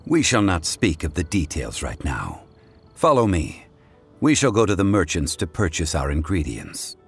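An actor playing a calm, scholarly gentleman in a video game, speaking in a formal, eloquent, lecturing way. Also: deep voice, moderate pace, gravelly, husky, soft background music, heavily acted. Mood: composed. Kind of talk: video game character dialogue.

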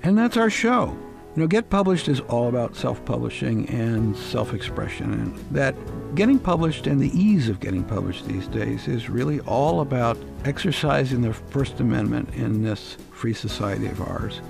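Creaky-voiced male radio host. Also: mid-range voice, sing-song, moderate pace, music in the background. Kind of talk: conversational radio hosting.

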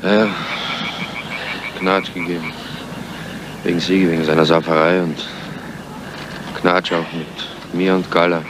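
An actor playing a tough, suspicious criminal on the run in a radio crime drama, talking in a curt, sarcastic, menacing way. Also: male voice, sits low, level tone, a little slow, pauses often. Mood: wary, gruff. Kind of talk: 1970s German crime radio drama acting. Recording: noisy old radio drama recording.

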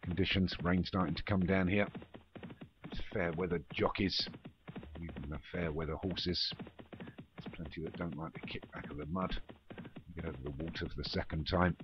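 A man's voice: low-pitched, fairly even intonation, normal speed. A man giving running commentary on a horse race in an online game league, informal, with muttered asides and self-corrections. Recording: dull, noisy home recording with clicks.

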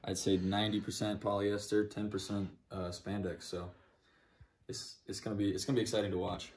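Give me a young man with a low, even voice pitching his product haltingly, heard from a few feet away. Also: distant mic. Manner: hesitant, lots of fillers. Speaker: guest client, brand founder. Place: home basement print shop. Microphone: phone camera a few steps away.

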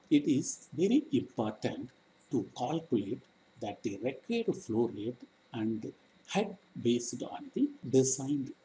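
A man giving a technical slide lecture, reading aloud in short, halting chunks as a trainer. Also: medium pitch, mellow, a little hiss or hum, poor audio. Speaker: engineering trainer.

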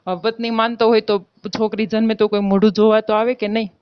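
A woman's voice: fairly high pitch, little pitch movement, fast talker, smooth, no echo, close to an everyday voice. A woman playing an aggrieved married village woman in a phone-call drama, with a Gujarati accent, insistently accusing and threatening her lover. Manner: insistent, reproachful, argumentative. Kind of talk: staged phone-call drama in colloquial speech. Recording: dull, lo-fi phone call recording.

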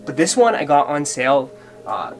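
A man's voice: fairly high pitch, big pitch swings, moderate pace. A man in his thirties with a Boston accent from Massachusetts, giving a casual closet tour in a small closet, gushing about each piece with lots of fillers. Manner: enthusiastic, filler-heavy, gushing. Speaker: fashion vlogger. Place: small bedroom closet, indoors. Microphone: camera on tripod at close range. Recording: background music under voice.

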